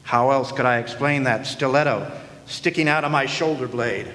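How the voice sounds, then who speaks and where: Guy acting as a cocky noir gumshoe narrating his case, deadpan radio play style, recorded in a big echoey hall. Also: medium pitch, normal speed, natural voice. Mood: wry narration. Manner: deadpan, wry, slangy. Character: wisecracking hard-boiled male private detective narrating his case. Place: large hall, live stage performance. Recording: echoey hall, live stage performance.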